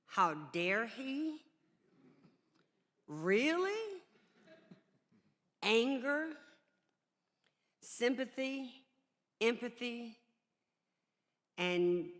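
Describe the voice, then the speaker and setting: A clergywoman sharing a personal reflection at a public discussion, with emphatic, deliberate phrasing. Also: medium pitch, fairly bright tone. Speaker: church district superintendent, clergy. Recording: echoey hall with long reverb.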